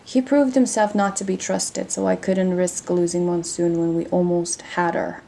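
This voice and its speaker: A woman's voice: fairly low pitch, flat delivery, moderate pace, gravelly, warm tone, a little hiss or hum. A woman playing a bold, teasing pirate captain in an audio roleplay, speaking in a cocky, flirtatious manner. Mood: confiding, proud. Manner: cocky, flirtatious, addressing listener with pet names.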